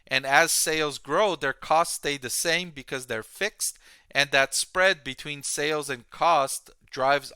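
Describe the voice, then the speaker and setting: A man hosting a stock analysis, explaining financial results to viewers plainly and deliberately. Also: medium pitch, bright, forceful tone, clean tone, no echo.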